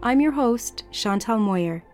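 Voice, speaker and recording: A woman's voice: on the high side, animated, takes it slowly, a little mellow, soft background music. A woman reading a scripted introduction as a podcast host.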